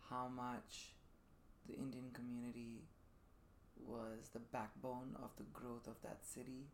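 A man in his thirties from Dubai, a podcast guest telling his personal story reflectively in thoughtful, measured phrases. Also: mid-range voice, completely flat delivery. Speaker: podcast guest, performing artist. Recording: faint room noise behind the voice.

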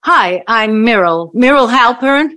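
A woman's voice: a little high, expressive, unhurried, sharp tone. Wronged older ex-girlfriend with swooping intonation, acted by a woman. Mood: polite. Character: older woman, wronged ex-girlfriend, hesitant but bitterly accusing. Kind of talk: audio play acting.

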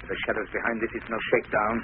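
Male actor as ruthless gang boss, brisk, curt and domineering, 1940s radio crime style, tinny noisy recording. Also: fairly low pitch, level tone, natural voice. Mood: stern, impatient.

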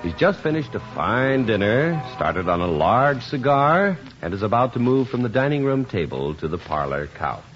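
A man's voice: medium pitch, very animated, normal speed, few pauses, soft background music. A man announcing in scripted 1950s radio style, delivering advertising and narration. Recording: dull 1950s radio broadcast.

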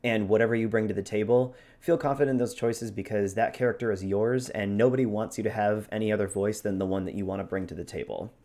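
A man with a fairly low voice talks as the host of a hobby show. He explains techniques in an informal, instructional style, with an encouraging way of putting things. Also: steady tone, fast talker, hardly any pauses, mellow. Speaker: show host giving role-playing tips. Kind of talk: casual instructional chat.

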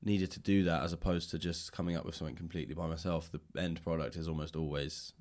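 A man's voice: a bass voice, steady tone, fast talker, darkish tone. A man hosting a conversational interview, speaking in a casual, reflective and discursive way. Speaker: podcast host, interviewer.

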